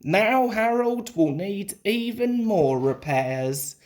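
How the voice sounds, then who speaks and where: A woman voicing a stern, booming male railway boss in a children's storybook. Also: lively intonation, slow, a little mellow. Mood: scolding.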